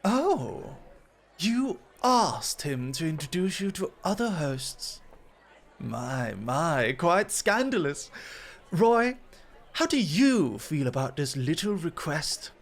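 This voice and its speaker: A man's voice: fairly high pitch, very animated, slow, full character voice. A man playing a suave, teasing dog host at a club in a flirty ASMR roleplay, with polished, coy, formal phrasing.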